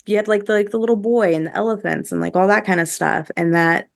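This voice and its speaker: A woman co-hosting a podcast in a casual review chat, with halting, filler-heavy phrasing. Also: mid-range voice, vocal fry, no echo, clean studio sound. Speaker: podcast co-host.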